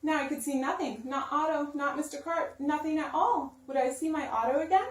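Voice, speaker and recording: A woman's voice: sits high, fairly quick. A woman narrating a children's storybook expressively, with simple, emphatic phrasing for young listeners. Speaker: story-time reader. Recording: roomy sound, distant microphone.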